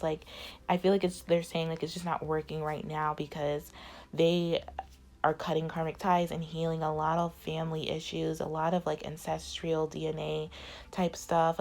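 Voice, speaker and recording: A woman's voice: sits low, darkish tone. A woman giving a casual tarot reading, rambling with many fillers while losing her voice. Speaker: tarot reader.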